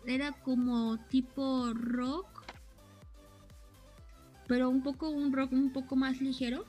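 A woman's voice: sits high, little pitch movement, slow, a little mellow, soft background music. A young woman chatting casually, explaining things and often searching for the right words. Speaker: co-host explaining anime terms. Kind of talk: casual chat.

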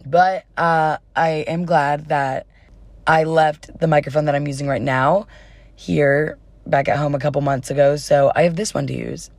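A woman's voice: low voice, steady tone, normal speed, no room sound. A young adult woman hosting a casual solo podcast in an informal, chatty way, her voice slightly worn as if she is starting to lose it. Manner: informal, giggly, uses y'all. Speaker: podcast host.

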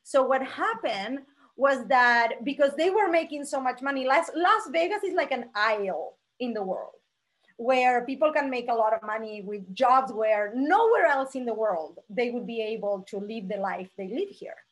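Argentinian-born woman in her 40s, animated guest telling her story, slightly high voice. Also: normal speed. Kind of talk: conversational interview storytelling.

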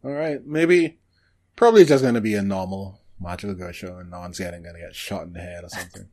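A man co-hosting a podcast, chatting casually and thinking aloud in halting bursts about anime shows. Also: sits low, a little mellow. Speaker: podcast co-host.

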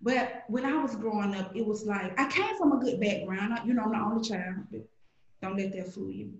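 A middle-aged woman from Alabama with a Southern accent, telling stories in an animated, playful way as a guest on a podcast. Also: medium pitch, brisk, echoey room, lo-fi sound, a little hiss or hum. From Alabama, USA. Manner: animated storytelling, playful, acts out voices in quotes. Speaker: guest, grocery shopper. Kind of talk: casual podcast conversation.

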